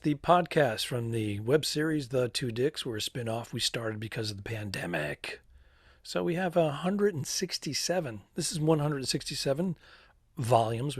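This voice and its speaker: A man co-hosting a comedy podcast from a cruise ship cabin, telling stories with deadpan punchlines in a slightly raspy voice. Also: mid-range voice, no echo, studio quality. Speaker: podcast co-host. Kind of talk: casual comedic chat.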